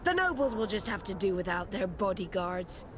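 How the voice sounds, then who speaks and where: Actress as stern female navy admiral, haughty, formal, commanding, video game drama, clearly noisy outdoor recording. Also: medium pitch, sing-song, moderate pace, natural voice. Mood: indignant, threatening. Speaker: game character actor.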